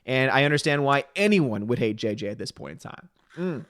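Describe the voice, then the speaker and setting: A man's voice: mid-range voice, lively intonation, a bit bright, no echo. A man in his thirties hosting a livestream, reading viewer comments aloud and giving his opinions in a chatty, opinionated way, his voice a bit tired. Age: 30s. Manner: chatty, opinionated, quick asides. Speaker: livestream host reading chat. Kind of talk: casual livestream chat.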